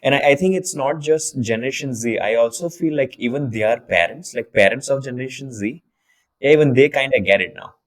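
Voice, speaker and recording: A man's voice: mid-range voice, a little fast. A young man from India hosting a podcast, chatting casually and restarting his sentences with repeated words as he thinks aloud. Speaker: podcast host. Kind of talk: casual interview chat.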